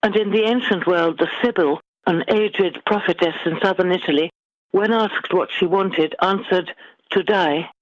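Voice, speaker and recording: A middle-aged woman guest author speaking in measured, formal, articulate phrases in a literary interview. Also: lowish voice, dry recording. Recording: dull, lo-fi band-limited sound.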